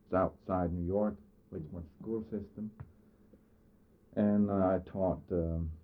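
A Danish-accented man, a schoolteacher, answering interview questions about his family and career with frequent pauses. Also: low voice, fairly even intonation. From Denmark, southern Jutland. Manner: hesitant, pausing often with uh. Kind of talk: oral history interview. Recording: narrow phone-like old tape.

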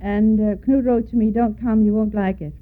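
A woman's voice: mid-range voice, fairly even intonation, normal speed. An older woman from Denmark with a Danish accent, recalling her life in an oral history interview and spelling out names letter by letter. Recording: tinny phone-like lo-fi tape.

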